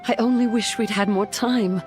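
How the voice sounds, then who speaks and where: An actress playing an earnest, sorrowful younger elven sister in a game cinematic, speaking formally. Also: female voice, medium pitch, steady tone, moderate pace, over music, close to an everyday voice.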